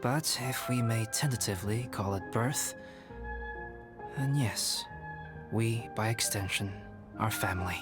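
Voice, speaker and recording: A man voicing a calm, reserved young alchemist in a video game, speaking in a measured, formal, contemplative way. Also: on the low side, close to an everyday voice. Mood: thoughtful. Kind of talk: scripted video game character acting. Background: music.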